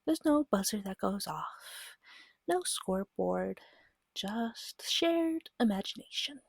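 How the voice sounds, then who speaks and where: Casting: female ASMR guide, breathy and half-whispered with soft fry, patient and soothing delivery, dry intimate close-mic sound. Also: fairly high pitch, dry recording. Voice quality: breathy, often slipping into whisper. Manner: gentle, patient, reassuring, slow and deliberate. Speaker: game master and ASMR host. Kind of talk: soft-spoken ASMR guide to a tabletop game. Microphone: close microphone.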